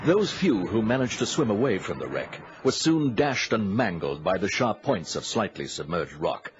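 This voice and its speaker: Male narrator, old radio adventure. Low voice, deliberate and grave, animated intonation, slightly dull recording. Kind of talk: scripted 20th-century radio drama narration.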